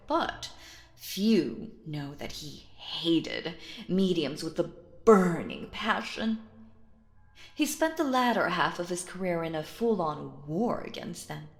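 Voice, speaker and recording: Casting: woman as sinister female magician, possessive and coaxing, theatrical, very unhurried, lowish voice, roleplay audio. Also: creaky, echoey room, exaggerated voice. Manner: theatrical, slow, silky, addresses listener as dear. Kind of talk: scripted roleplay audio acting.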